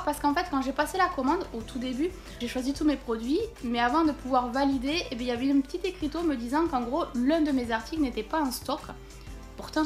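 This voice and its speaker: A woman chatting casually while unboxing a parcel at home, thinking aloud with many fillers. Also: high-pitched. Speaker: vlogger unboxing a package.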